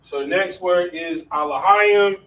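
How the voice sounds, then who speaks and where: A man's voice: high voice, slow pace, sharp tone. A man teaching a classroom lesson in a casual way, often saying "all right" between points. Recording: distant mic with faint room noise.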